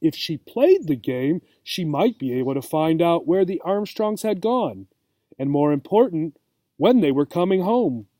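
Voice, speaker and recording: A man reading a children's storybook aloud as a teacher, expressive and checking in with listeners. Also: a little high, measured pace, no echo. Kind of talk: storybook read-aloud for English learners.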